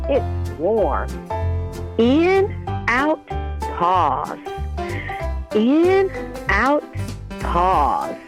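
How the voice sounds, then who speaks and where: Woman reading as an animated, curious little storybook girl. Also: medium pitch. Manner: expressive, deliberate, addressing young listeners directly. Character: curious, adventurous young girl narrating her mindfulness quest. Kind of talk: children's storybook read-aloud.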